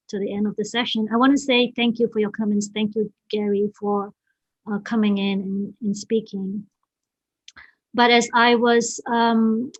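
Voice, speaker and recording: The recording is a little dull and very dry, as on an online call. A woman from Malaysia speaks in a fairly high voice as host and presenter. She is informal and hesitant, circling back over phrases and checking that listeners follow. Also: no echo. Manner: hesitant, repeats phrases, often checks in with "right?". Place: online video meeting.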